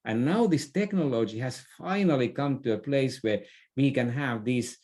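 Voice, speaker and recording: A man's voice: mid-range voice, normal speed, a little mellow. A middle-aged man gives advice in a conversational interview, often hesitating and repeating words. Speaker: podcast guest, business expert.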